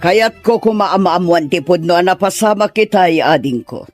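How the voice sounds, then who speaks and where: Woman acting a stern, animated older sister. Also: lowish voice, normal speed, soft background music, close to an everyday voice. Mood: scolding, suspicious. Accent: northern Philippine. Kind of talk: acted audio drama.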